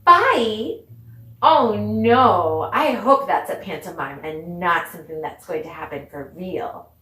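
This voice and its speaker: A woman's voice: lowish voice, animated, takes it slowly, pushed and bright. A woman teaching a children's dance lesson on video, speaking directly to pupils in an encouraging way, asking questions and giving praise. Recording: roomy echo, distant mic, background noise.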